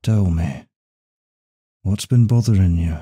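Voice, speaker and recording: Devoted CEO boyfriend played by a male actor, dark mellow tone, very slow and intimate ASMR roleplay. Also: low voice, breathy edge, exaggerated voice. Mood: calm, tender. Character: devoted, secretive male CEO romancing his secretary. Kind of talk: intimate ASMR roleplay acting, one side of a dialogue.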